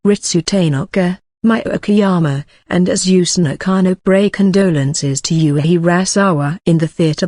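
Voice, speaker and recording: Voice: medium pitch, a little mellow, no echo, studio quality. A news announcer reading scripted emergency bulletins as a narration.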